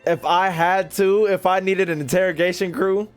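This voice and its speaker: A man's voice: sits high, steady tone, normal speed, smooth, soft background music. A young American man giving casual, joking, slangy gameplay commentary as a streamer talking to his chat. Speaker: gaming streamer.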